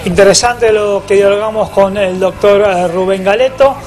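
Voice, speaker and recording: A man's voice: high-pitched, fairly even intonation, moderate pace, a little mellow. A man who hosts a radio show and announces a break with an upbeat delivery. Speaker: radio host. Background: faint music.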